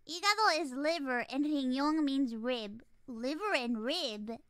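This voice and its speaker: A woman with a childlike, squeaky voice reacting excitably on a livestream, gushing and swearing in exclamations. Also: a little slow, no echo, clean studio sound. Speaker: streamer reacting to a fan animation.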